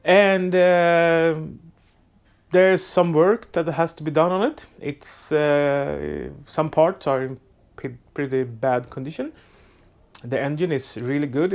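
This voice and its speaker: A man with a Swedish accent, a native Swedish speaker, hosting a do-it-yourself boat restoration vlog from his makeshift workshop, talking in a halting way with many filler sounds and restarts. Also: a little high. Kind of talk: casual how-to narration.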